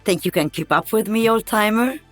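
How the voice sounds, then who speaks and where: A woman's voice: medium pitch, brisk, soft background music, close to an everyday voice. A woman playing an older veteran sniper in a video game, wry and teasing.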